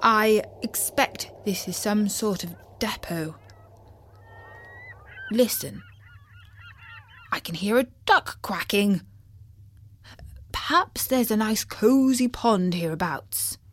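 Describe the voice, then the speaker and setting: A woman's voice: medium pitch, animated, slow pace, pauses often, slight background noise. A woman voicing a cheery, curious male animal companion who talks in a musing, reassuring, old-fashioned way.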